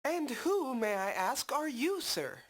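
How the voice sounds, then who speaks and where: A woman's voice: high-pitched, very animated, takes it slowly, full character voice. A woman voicing a precocious, polite young hero in a video game, with a formal, wordy, dry manner. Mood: unimpressed. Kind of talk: video game cutscene acting. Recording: game music under the voice.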